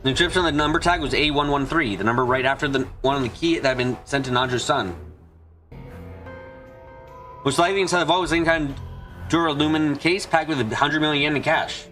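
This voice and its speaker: A man's voice: fairly high pitch, fast, pushed and bright, faint music behind. A man reading scripted visual novel narration as a fan dub streamer.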